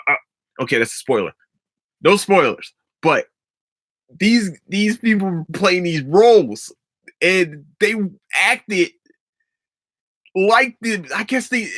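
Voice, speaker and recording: Excitable, bright-voiced podcast host. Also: male voice, high voice, no echo, studio quality. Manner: excitable, profane, rambling, laughs often. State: stuffy from allergies. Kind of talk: solo casual podcast talk.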